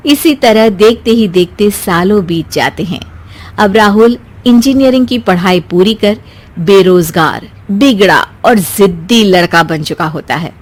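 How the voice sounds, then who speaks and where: A woman reads scripted story narration in a medium-pitched voice. She is the storyteller, carrying a domestic tale along. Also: animated, measured pace.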